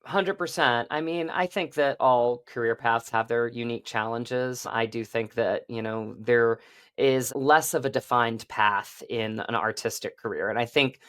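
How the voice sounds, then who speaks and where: An American man speaks as an interview guest in a medium-pitched voice. His delivery is casual and conversational, with frequent little check-ins to the listener at the ends of phrases. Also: unhurried, fairly bright tone, smooth. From Virginia, United States. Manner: conversational, often checks in with "right?" and "you know". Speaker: guest, actor.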